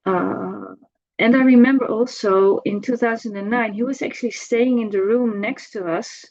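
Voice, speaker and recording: A middle-aged woman giving an informal spoken remembrance, halting as she searches for words and repeats herself. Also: a little high, steady tone, darkish tone, lo-fi sound. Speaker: devotee giving a personal homage.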